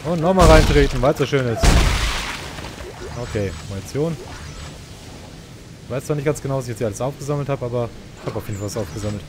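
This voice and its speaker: A man's voice: medium pitch, fast talker, long pauses, over loud music. A man giving casual, sarcastic gameplay commentary, grumbling and talking half to himself. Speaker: let's play host. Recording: clear game noise behind the voice.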